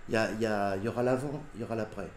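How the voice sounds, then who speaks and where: A man speaks in a measured, skeptical way during a discussion. His voice carries the echo of an indoor room, with faint noise in the background. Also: lowish voice. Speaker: local participant in a debate. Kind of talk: debate. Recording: echoey room.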